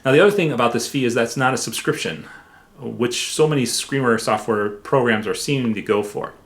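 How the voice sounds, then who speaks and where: A man hosting a software review in a casual, informal way, somewhat halting, with playful repetition for emphasis. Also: mid-range voice. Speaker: software reviewer and video host.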